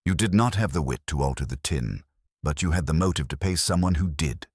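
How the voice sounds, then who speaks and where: A man's voice: very deep voice, animated, fairly quick, darkish tone, husky, no room sound, clean studio sound, exaggerated voice. A man voicing a stern, imperious detective genius in an audiobook, with a pompous, formal and accusatory way of speaking. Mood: accusing, commanding.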